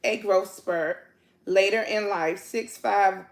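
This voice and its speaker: A woman's voice: mid-range voice, fairly even intonation, slow pace, creaky. A woman with a Washington, D.C. accent, telling playful stories in a casual couch chat and often laughing. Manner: playful, laughing storyteller, loose casual grammar. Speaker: vlog co-host, a twin.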